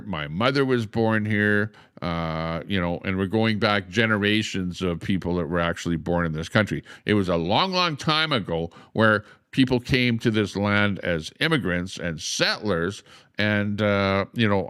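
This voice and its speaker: A man's voice: low voice, fairly bright tone, smooth, no echo, studio quality. An older man hosting a livestream, explaining at length with frequent "uh"s and a repeated "okay?". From Canada. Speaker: livestream host. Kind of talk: casual livestream monologue.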